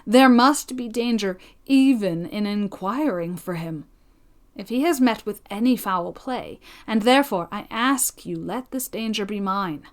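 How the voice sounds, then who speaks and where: A woman voicing a courteous young gentleman, speaking with formal, solemn entreaty in an audiobook reading. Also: mid-range voice, lively intonation, unhurried. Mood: entreating.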